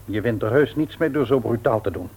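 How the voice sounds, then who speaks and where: A man playing an earnest, patient, devout middle-aged father in a radio play, with measured delivery and a rough-edged husky voice. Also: medium pitch, a little mellow, rough recording. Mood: restrained, patient. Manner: measured, grave, deliberate. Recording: old radio play recording.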